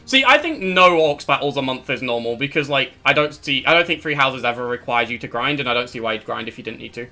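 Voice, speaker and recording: A man's voice: mid-range voice, expressive, quick pace, sharp tone. A young man hosting a live stream in a casual, rambling way, his voice cracking as he loses it. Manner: rambling, self-correcting, chatty. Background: faint background music.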